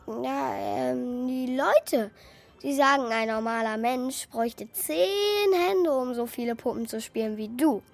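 Little puppet boy, really high voice, naive n stammery, slow, kids radio drama. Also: gravelly, exaggerated voice. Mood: pleading. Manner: hesitant, earnest, stammering. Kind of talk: children's radio play acting.

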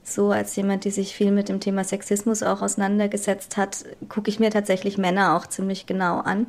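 A woman's voice: mid-range voice, monotone, brisk. A woman and mother speaking thoughtfully and candidly in a documentary interview.

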